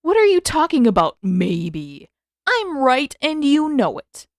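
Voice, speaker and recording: Woman voicing a brash, animated teenage boy. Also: high-pitched, takes it slowly, dry recording, clean studio sound, pitched above the performer's own voice, exaggerated voice. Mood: matter-of-fact, insistent. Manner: blunt, casual, emphatic. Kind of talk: fan fiction audiobook dialogue.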